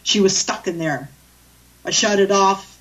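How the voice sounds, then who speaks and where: A woman's voice: mid-range voice, unhurried, fairly bright tone, far from the mic, poor audio. A woman, a guest animal communicator, telling stories in a rambling, chatty way with many restarts. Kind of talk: storytelling in a radio interview.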